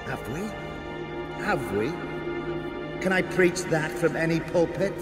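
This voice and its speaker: Troubled prison chaplain: higher-pitched, declamatory, morally tormented, radio drama with loud music over him. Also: male voice, animated, slow pace. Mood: anguished, preaching. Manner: preachy, rhetorical, declamatory.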